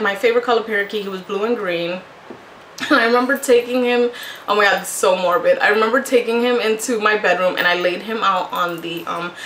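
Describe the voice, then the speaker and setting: A woman's voice: mid-range voice, a bit bright. A woman in her thirties from Puerto Rico, a native Spanish speaker, telling personal stories casually and candidly to the camera. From Puerto Rico, moved to Connecticut as a child. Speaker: video blogger. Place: small home room, indoors. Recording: echoey room, faint background noise.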